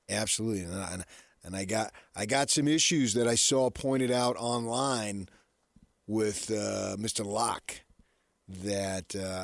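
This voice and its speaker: A middle-aged or older American man with a low, creaky voice co-hosts a sports talk show. He chats casually and rambles a little, doubling back on his words. The studio sound is close and dry. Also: dry recording. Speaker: sports radio co-host. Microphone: close studio radio microphone.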